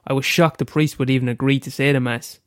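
A man's voice: mid-range voice, steady tone, fast talker, no room sound, clean studio sound. Irish man reading his story, slightly stuffy. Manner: casual, hesitant with fillers in the intro. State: head cold. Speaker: amateur storyteller. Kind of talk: reading his own short story aloud.

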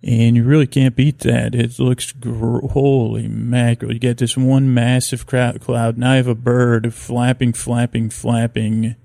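A man hosting a bedtime podcast, talking in a halting, meandering, improvised monologue with a creaky voice that drifts into tangents. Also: mid-range voice, level tone, mellow, no echo. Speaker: host of a bedtime story podcast. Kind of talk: rambling, improvised sleep-aid monologue.

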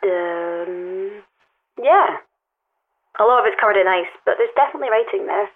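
A woman's voice: medium pitch, moderate pace. An actress playing a troubled, hesitant woman climber talking naturally over a phone line in an audio drama. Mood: tentative, curious. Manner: hesitant, conversational. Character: weary, troubled young woman climber calling a friend. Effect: telephone filter. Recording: tinny phone line with music.

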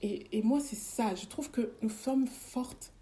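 A woman's voice: on the high side, a little slow, warm tone. A woman in her forties with a French accent, a guest in a casual interview, who talks with many hesitations and repeated words. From France. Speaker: guest, ritual practitioner and former nurse.

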